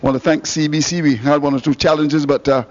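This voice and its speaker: A man's voice: a little high, steady tone, fairly quick. A man hosting cricket radio, giving on-air thanks with jovial, laughing asides. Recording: dull broadcast audio.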